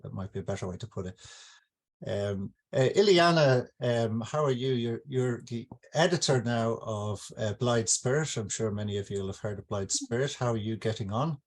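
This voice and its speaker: A man's voice: mid-range voice, normal speed. An Irish man, an author, talking informally and reflectively to a gathering, explaining with some hesitation. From Ireland. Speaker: author hosting his book launch. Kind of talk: informal talk to an online gathering.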